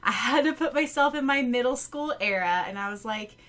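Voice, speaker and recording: A woman co-hosting a casual podcast chat, laughing often and gasping with excitement. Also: on the high side, a little fast. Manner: laughs often, gasps and exclaims. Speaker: podcast co-host.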